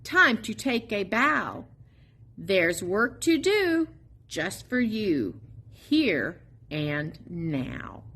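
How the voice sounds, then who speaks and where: A woman reading a children's picture book aloud expressively, in a sing-song, drawn-out way with playful emphasis on sound words. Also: medium pitch, very animated, fairly bright tone, slightly rough. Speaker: storybook reader at a public library.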